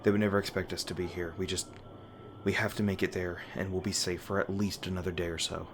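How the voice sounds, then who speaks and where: A man playing a weary, guarded monster hunter in an audio roleplay, gruff but caring, with halting, sardonic, sweary speech. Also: sits low, flat delivery. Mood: reassuring, tired. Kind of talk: audio roleplay, one-sided dialogue to the listener. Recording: noisy ambient sound effects behind voice. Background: ambient sound effects.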